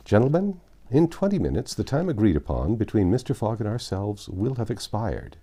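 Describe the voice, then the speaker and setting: A man voicing a nervous, insistent gentleman engineer in a storybook, speaking formally and emphatically. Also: on the low side, very animated, normal speed, pitched above the performer's own voice. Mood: announcing.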